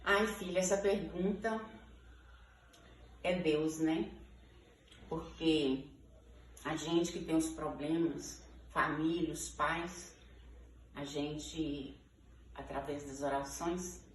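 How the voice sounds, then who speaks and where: The unhurried woman in her sixties you would hear giving an informal interview in a reverberant room in inland Minas Gerais, chatting in the local Brazilian accent. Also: sits low, steady tone. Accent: Brazilian Portuguese, Minas Gerais. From Ferros, Minas Gerais, Brazil. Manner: conversational, frequent checking tags like "né" and "sabe". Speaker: interviewee, teacher. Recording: echoey room, distant mic, background noise.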